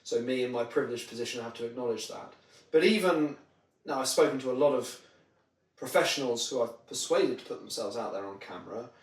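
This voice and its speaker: A man's voice: medium pitch, fairly quick. A man giving conversational explanations as an interview guest, illustrating his points with examples. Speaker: podcast guest, marketing trainer. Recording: roomy echo, distant microphone.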